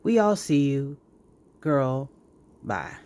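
A man's voice: mid-range voice, very animated, slow, darkish tone, slight background noise. A man hosting reaction commentary, scolding emphatically and repeating words for effect. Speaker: commentary host.

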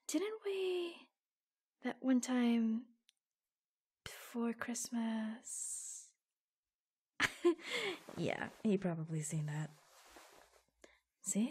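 Flirtatious secret office lover, played by a woman: teasing, intimate ASMR roleplay, husky half-whispers with vocal fry. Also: on the high side, a little mellow, exaggerated voice. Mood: playful. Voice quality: breathy, husky, often dropping to a whisper. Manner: slow, coy, teasing, giggly. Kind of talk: ASMR roleplay, intimate romantic audio drama.

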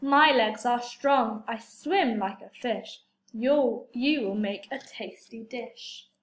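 A woman's voice: on the high side, slow. A woman voicing a boastful tiger in a storybook, speaking with a growl. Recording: roomy echo.

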